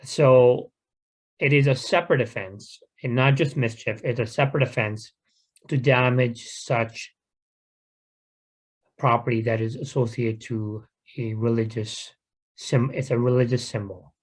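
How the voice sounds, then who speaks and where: A man addressing a community gathering in an unscripted talk. His pitch sits in the ordinary middle range. He explains things in a careful, measured and earnest way. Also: steady tone. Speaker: guest speaker at a community talk.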